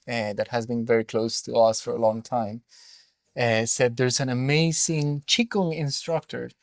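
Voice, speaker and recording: Middle aged colombian guy, spanish accent, chatty reflective interview, normal pitch, dry close sound. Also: no room sound. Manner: reflective storytelling with many fillers. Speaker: school director, interview guest. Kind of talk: conversational interview.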